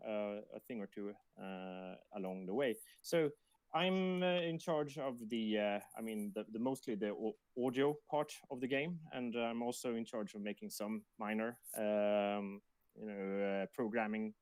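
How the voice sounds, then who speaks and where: A man's voice: mid-range voice, a little slow, clean tone, no room sound. Male team member, mid-range voice, dry recording, hesitant and informal livestream talk. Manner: hesitant, repeats words, laughs easily. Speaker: game team member for audio and administration. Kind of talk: casual livestream introduction and chat.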